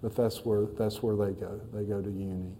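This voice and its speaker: Casting: middle-aged man, pastor; very dark, mellow tone, folksy, halting delivery, faint hum behind. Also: fairly low pitch, little pitch movement. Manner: folksy, halting with many fillers. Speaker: missionary pastor. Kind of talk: church talk to a congregation. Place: church.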